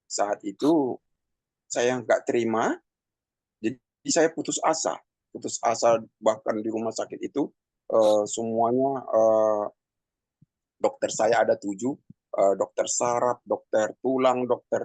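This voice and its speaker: A man in his fifties giving a personal testimony in a halting, conversational way, with many pauses and fillers. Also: fairly low pitch, darkish tone, no room sound. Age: 50s. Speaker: testimony speaker.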